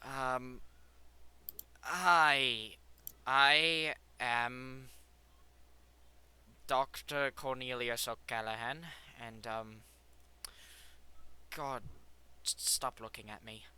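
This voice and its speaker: A man's voice: extremely slow, long pauses, smooth, full character voice. A man doing a jumpy fretful doctor. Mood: startled. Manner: anxious, fretful, high excitable voice. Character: nervous fussy male doctor, jumpy.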